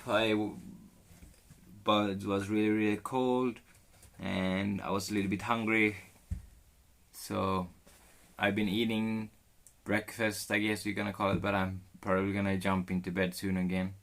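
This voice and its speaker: A man from Sweden with a Swedish accent, chatting casually with viewers on a live stream from a cold sailboat, in a halting way with restarts. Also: fairly low pitch, flat delivery, gravelly, a bit bright.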